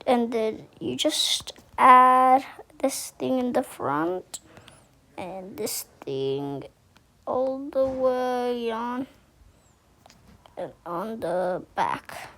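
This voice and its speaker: A woman giving a casual how-to tutorial, speaking haltingly and repeating herself as she thinks aloud. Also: fairly high pitch, lively intonation, pushed and bright. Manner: halting, repetitive, thinking aloud while demonstrating. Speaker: tutorial host.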